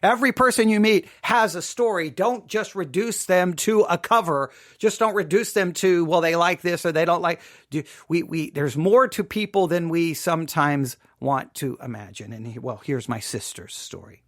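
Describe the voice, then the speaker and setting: A man hosting an informal spoken commentary, emphatic and halting, repeating himself and adding rhetorical asides. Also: a little high, fairly bright tone, dry recording, studio quality. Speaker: podcast host commenting on a drama.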